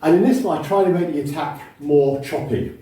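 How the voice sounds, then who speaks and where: A man's voice: fairly high pitch, brisk, far from the mic. Man teaching in an echoey hall. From United Kingdom. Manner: calm, explanatory, counts through moves while demonstrating. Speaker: martial arts instructor. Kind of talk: instructional demonstration.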